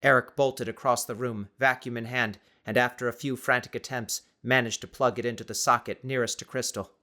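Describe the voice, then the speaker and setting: A man's voice: mid-range voice, fairly even intonation, fairly quick, bright, forceful tone. A man reading scripted fiction as a dramatic audiobook narrator.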